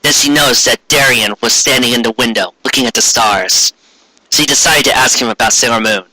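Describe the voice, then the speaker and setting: A man's voice: medium pitch, a little fast, bright, forceful tone, no echo, low-quality recording. A man narrating fan fiction as a dramatic reading, now and then stumbling over the words.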